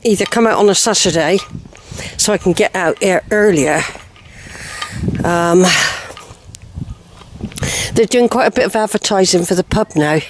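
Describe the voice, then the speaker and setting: A woman's voice: medium pitch, measured pace, pauses often. A woman with an English accent gives casual, unscripted commentary while filming a walk outdoors, rambling and correcting herself as she goes. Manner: chatty, rambling, self-correcting. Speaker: walker filming a hike vlog. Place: outdoors on a village lane. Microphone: handheld camera or phone mic. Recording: handheld outdoor recording, faint noise, clicks.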